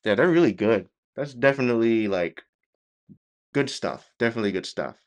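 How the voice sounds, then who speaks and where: A man hosting a reaction video, giving casual commentary in a nonchalant, low-key way with trailing, hesitant phrases. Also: lively intonation, moderate pace.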